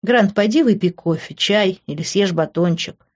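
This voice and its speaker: A man's voice: sits high, normal speed, muffled recording. A man voicing a composed, authoritative and conciliatory policewoman in an audiobook. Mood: calm, firm. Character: firm, composed policewoman, placating friend.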